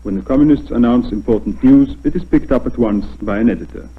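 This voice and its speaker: A man whose first language is Polish, a newscaster, narrating a documentary in a measured, formal way. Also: mid-range voice, husky. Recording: tinny narrow-band old film soundtrack.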